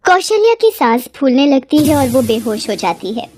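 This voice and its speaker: A woman narrator reading a scripted tale. Her voice is fairly high, with a breathy, husky edge. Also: sing-song, moderate pace, a little mellow.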